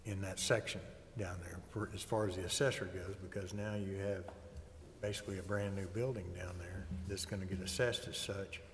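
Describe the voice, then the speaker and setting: A man talking informally in a public meeting, reasoning through points as a participant in the discussion. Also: lowish voice. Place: council chamber. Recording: reverberant chamber, faint background noise.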